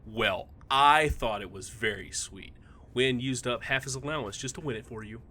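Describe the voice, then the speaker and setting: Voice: medium pitch, normal speed, pushed and bright. An actor in a comedic audio drama playing a chipper stranger who chummily acts like a lifelong best friend. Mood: fond, cheery. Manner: cheery, chummy, unbothered.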